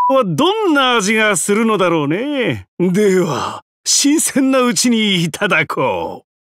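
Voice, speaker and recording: A man's voice: high-pitched, fairly bright tone, dry recording, studio quality, exaggerated voice. An actor voicing a depraved, mocking trickster giant-god held prisoner in a game, theatrical and taunting, drawing out his words. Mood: taunting, lustful. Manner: theatrical, taunting, drawn-out words, sudden swoops and cackles. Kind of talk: theatrical game character voice lines.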